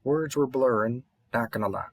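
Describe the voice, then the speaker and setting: A man's voice: medium pitch, normal speed, no room sound, close to an everyday voice. A man playing an experienced, kindly vampire hunter mentor in an intimate audio roleplay, rambling and slightly mumbling in a Southern accent. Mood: earnest, reassuring.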